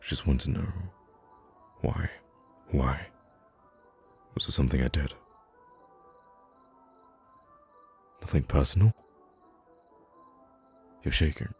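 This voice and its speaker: A man playing a kind, charming young prince in an intimate audio roleplay, with formal, courtly phrasing and hesitant pauses. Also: rumbling low, gravelly, soft background music, full character voice. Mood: shaken, gentle. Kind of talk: intimate ASMR roleplay acting.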